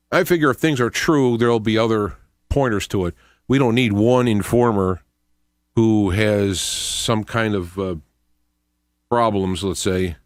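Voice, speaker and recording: A middle-aged to older man hosting a radio show, thinking aloud in a halting, unscripted monologue with repeated words. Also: on the low side, no room sound, studio quality. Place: small indoor home studio. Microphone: close studio microphone with headphones.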